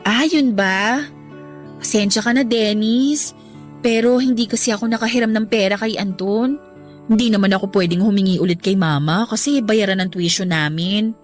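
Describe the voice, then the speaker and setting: A woman's voice: medium pitch, moderate pace, faint music behind, natural voice. A young woman voicing a shy, naive heroine in a radio love story, earnest and easily flustered. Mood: indignant. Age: young adult. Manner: earnest, flustered, then indignant. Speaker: heroine Abby. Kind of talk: radio drama acting.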